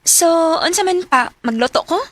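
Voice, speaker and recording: A bright, high-voiced young Cebuano daughter. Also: female voice, lively intonation, normal speed, no room sound. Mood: bright, shy. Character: playful young adult daughter smitten with older man. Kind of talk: Filipino radio drama acting.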